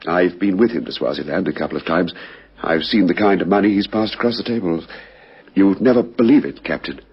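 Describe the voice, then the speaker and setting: An actor playing a nervy, evasive murder suspect in a radio crime drama, hesitant and defensive. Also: male voice, low-pitched, brisk, vocal fry, breathy edge, full character voice. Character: evasive, nervy male friend of murdered gambler, under questioning. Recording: dull vintage radio recording.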